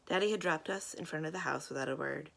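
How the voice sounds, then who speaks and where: A woman's voice: low-pitched, fast talker, creaky, fairly bright tone. A woman reading a children's novel aloud as a storyteller. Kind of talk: scripted read-aloud narration of a children's novel.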